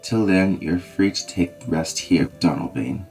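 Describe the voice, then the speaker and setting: An actor voicing a grave Scottish nobleman with a steady, reassuring manner. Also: sits low, a little slow, music in the background. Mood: solemn. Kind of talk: fan audio drama acting.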